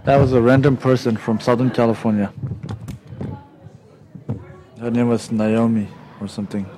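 A man's voice: medium pitch, level tone, normal speed, warm tone, rough recording. A man, a student organizer, talking casually and a little hesitantly in an interview.

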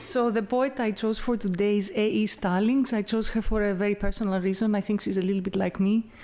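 A woman's voice: mid-range voice, steady tone, a little fast, mellow, slight background noise. A woman professor giving an informal talk about a poem, chatty and explanatory, with small laughs and restarts. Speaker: professor of Byzantine history and classics.

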